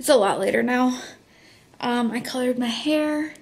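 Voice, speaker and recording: A woman's voice: fairly high pitch, normal speed. Casual woman vlogger chatting at home. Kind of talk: casual chat to camera. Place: indoors in a room at home. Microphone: camera at arm's length.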